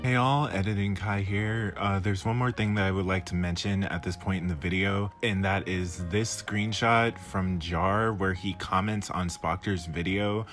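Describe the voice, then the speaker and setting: A man's voice: deep voice, fairly even intonation, normal speed, few pauses, fairly bright tone, soft background music. A man giving scripted response commentary in a critical, explanatory manner. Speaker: video commentator and editor.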